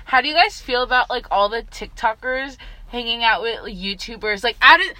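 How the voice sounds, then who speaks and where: A woman's voice: on the high side, animated, fairly quick, bright, forceful tone, poor audio. A young woman in her late teens, chatty and opinionated, steering a casual group chat. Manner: chatty, opinionated, leads topics.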